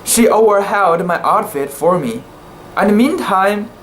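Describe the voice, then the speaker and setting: A narrator giving an amateur dramatic reading of a sea story, with deliberate, theatrical phrasing and occasional stumbles. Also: medium pitch, expressive, fairly bright tone, slight background noise, low-quality recording.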